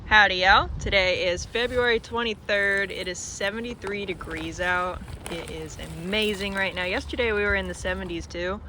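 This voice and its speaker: A relaxed farm vlogger with a drawl who talks through the chores outdoors, filling pauses with ums and uhs. The voice is a touch nasal from a stuffy nose. Steady outdoor noise runs under the whole recording. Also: mid-range voice. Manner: easygoing, chatty, many ums and uhs. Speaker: small farmer vlogging their farm. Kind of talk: casual vlog narration. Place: outdoors on a farm, by a chicken coop.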